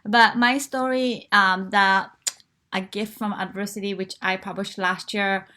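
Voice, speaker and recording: A woman's voice: medium pitch, steady tone, measured pace, sharp tone. A woman, from Japan, frankly telling her life story as a guest in a live online interview, with fillers and restarts. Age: 40s.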